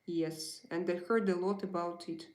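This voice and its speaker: A woman's voice: lowish voice, fairly even intonation, normal speed, a little mellow, echoey room. A woman with a Russian accent and Russian as her first language, hosting a casual livestream, chatting with viewers and answering their messages with many hesitant fillers. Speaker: livestream host.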